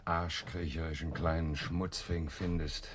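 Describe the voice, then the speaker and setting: Noisy 1970s radio drama: a cynical militant bomber, very deep voice, sardonic and gruff. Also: male voice, flat delivery, unhurried. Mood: sardonic, grumbling. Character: hard, cynical male militant bomber, grumbling henchman. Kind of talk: German radio play acting. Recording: 1970s radio play, clear noise.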